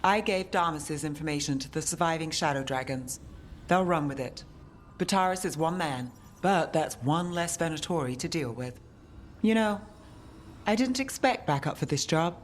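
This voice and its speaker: A woman's voice: low voice, expressive, moderate pace, a little hiss or hum. A woman voicing a cynical, determined mage detective in a fantasy video game, with a dry, terse, guarded manner. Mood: weary, resolute. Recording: faint music behind the voice. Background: music.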